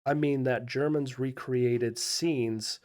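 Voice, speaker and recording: A man hosting a history video, giving unscripted explanatory commentary in a measured way with pauses mid-thought. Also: medium pitch, fairly even intonation, a little mellow.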